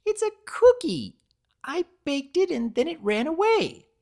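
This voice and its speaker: A woman voicing a hyper, impatient female cartoon pony with a loud, exaggerated, patronizing manner. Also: sits high, big pitch swings, measured pace, voice pushed up, heavily acted. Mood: lame, defensive.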